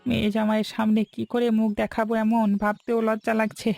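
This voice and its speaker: This is a poor village husband and father played by an actor in a cartoon tale. The voice is heavy with fry and has a humble, apologetic, pleading manner. Music plays faintly behind it. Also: mid-range voice, monotone, fast, dark tone, lightly acted. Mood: resigned, ashamed. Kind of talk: cartoon story dialogue.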